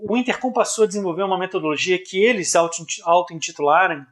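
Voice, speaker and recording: Brazilian man, slightly high voice, informal explainer, didactic, conversational, frequent check-in tags. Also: quick pace, a bit bright. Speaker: presenter of a business analysis video. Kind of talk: informal explanatory business talk.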